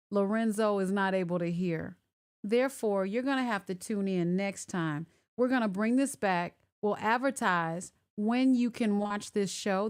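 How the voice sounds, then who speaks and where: A woman's voice: medium pitch, smooth. A woman hosting a live online talk show over a video call, chatty and repeating herself as she checks whether her guests can hear her. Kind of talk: casual live-stream chat.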